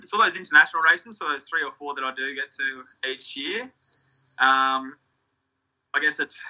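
Young Australian male athlete, bright and sharp tone, easygoing rambling answers, laptop-mic video call sound. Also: on the high side, normal speed, poor audio. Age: young adult. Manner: relaxed, rambling, with restarts and fillers. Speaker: surf ski champion guest. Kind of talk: casual interview answers. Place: small room indoors. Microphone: laptop or phone on a video call.

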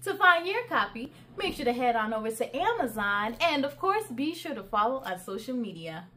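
High, lively woman reading to children. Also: moderate pace. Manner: expressive, sing-song, addressing children. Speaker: children's story time host. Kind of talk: children's read-aloud with asides to young viewers. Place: living room at home.

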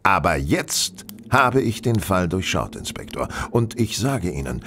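A man's voice: low-pitched, sing-song, a little slow, a bit bright, a little hiss or hum, exaggerated voice. An actor playing a shrewd, self-assured male detective in a German radio play, speaking with precise, theatrical courtesy. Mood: firm.

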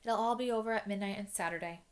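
A woman's voice: medium pitch, lightly acted. A woman reading aloud voices a clever upbeat teenage girl witch. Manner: brisk, cheerful.

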